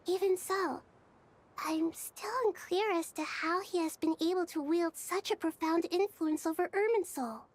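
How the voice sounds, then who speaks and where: A woman's voice: very high voice, a little slow. A woman voicing a small, composed young girl deity in a video game, speaking earnestly like a leader. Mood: earnest, grave.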